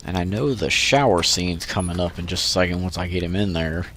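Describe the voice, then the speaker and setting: A man's voice: sits low, brisk, a bit bright. A man with a stuffy nose from allergies, giving laid-back, joking commentary over gameplay. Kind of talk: let's play commentary.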